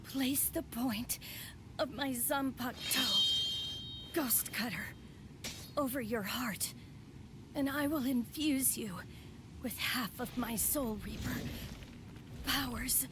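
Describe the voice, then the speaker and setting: A woman voicing a stern, composed female spirit warrior in an anime game dub, with formal, measured and commanding delivery. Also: on the high side, very noisy. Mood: grave, measured. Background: background music.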